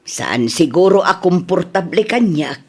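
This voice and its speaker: A woman with an Ilocano Filipino accent playing a gentle, resigned older mother in a radio drama. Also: lowish voice, lively intonation, unhurried, breathy edge, exaggerated voice.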